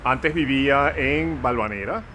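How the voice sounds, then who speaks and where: A man in his sixties from Venezuela, being interviewed for a documentary. He speaks in a halting way, pausing often on fillers. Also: medium pitch, bright, forceful tone, slight background noise. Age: 60s. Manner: hesitant, halting, heavy on fillers. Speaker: interviewee, father. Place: outdoors at a city street corner.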